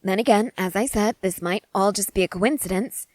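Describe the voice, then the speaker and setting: A woman playing an excitable, curious scientist in a scripted ASMR roleplay, speaking in eager, wordy, formal and apologetic phrases. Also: mid-range voice, brisk, no echo, natural voice. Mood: earnest, fascinated.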